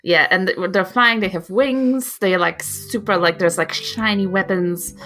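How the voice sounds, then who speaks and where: An excitable woman chats through her turn in a tabletop game. Her voice is bright and keen, her delivery loose and gushing, with faint music behind. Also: medium pitch, a little slow. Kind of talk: casual, improvised game chat.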